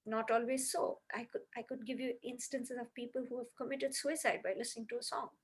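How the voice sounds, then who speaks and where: A woman's voice: on the high side, level tone, brisk, breathy edge. A woman on a podcast earnestly explaining her music healing method step by step, with many "you know"s.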